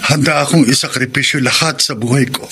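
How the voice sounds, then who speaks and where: An actor with a Filipino accent playing a devoted, forgiving young groom in a radio drama, speaking earnestly with dramatic emphasis. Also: male voice, mid-range voice, a little fast, bright, forceful tone, slight background noise. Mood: wounded devotion. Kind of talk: Tagalog radio drama acting.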